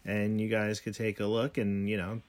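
A man's voice: fairly low pitch, completely flat delivery, normal speed, crowd noise. A middle-aged man co-hosting a casual home podcast, rambling with many ums and restarts. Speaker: podcast co-host.

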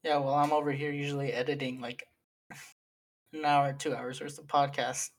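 A man's voice: mid-range voice, level tone, moderate pace, a little hiss or hum. Young male co-host, casual, rambling delivery with frequent laughs and fillers, mid-range voice. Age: young adult. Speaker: podcast co-host and editor. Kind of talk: casual chat among friends.

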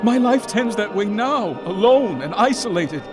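An actor playing a frightened writer who pleads with a ghost, with a trembling, theatrical delivery. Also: male voice, high-pitched, lively intonation, unhurried, over music. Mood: anguished. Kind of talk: radio drama acting.

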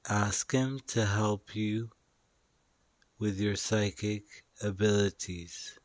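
Male meditation guide, fairly low pitch, very unhurried delivery, soothing and instructional, short spaced-out phrases. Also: little pitch movement, no room sound.

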